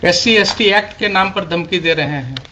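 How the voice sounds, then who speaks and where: A man's voice: sits high, expressive, normal speed, pushed and bright. An Indian man speaking as a judge in court, questioning and giving directions in a stern, insistent, reprimanding manner. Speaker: judge presiding over a court hearing. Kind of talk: courtroom questioning and oral directions, mixing Hindi and English. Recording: muffled, noisy courtroom recording.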